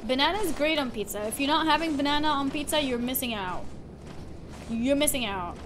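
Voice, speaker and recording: A woman's voice: sits high, expressive, brisk. A young adult woman chatting casually while streaming a game. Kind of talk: casual gaming chat. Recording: clear background noise, keyboard typing.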